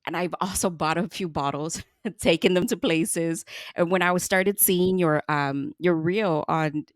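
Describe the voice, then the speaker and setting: A chatty middle-aged woman hosts a podcast conversation, her voice set a little low. She laughs easily and often restarts her sentences. The sound is dry and close. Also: a little slow, no echo. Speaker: podcast host. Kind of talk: casual interview chat.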